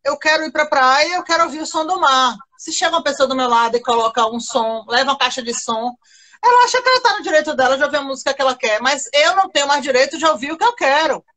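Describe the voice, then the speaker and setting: A woman's voice: sits high, animated, fast, pushed and bright. A woman with a Brazilian accent from Salvador, speaking as a radio guest, earnestly arguing through everyday examples. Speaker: radio guest, acoustics professional. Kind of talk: conversational radio discussion.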